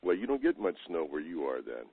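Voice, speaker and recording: A man's voice: low-pitched, sing-song, a little fast, husky, no echo. An American man from Oklahoma chatting casually as a caller, in a jovial, talkative way. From Oklahoma, United States. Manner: chatty, jovial, chuckles often. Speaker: caller from Oregon. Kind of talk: casual chat. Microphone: telephone. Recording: narrow phone line, radio-like.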